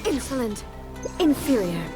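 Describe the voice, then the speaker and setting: An actress playing a proud, resolute warrior god with a commanding, haughty delivery in a dramatic video game style. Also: female voice, sits high, sing-song, a little slow. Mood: disdainful. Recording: loud game music over the voice.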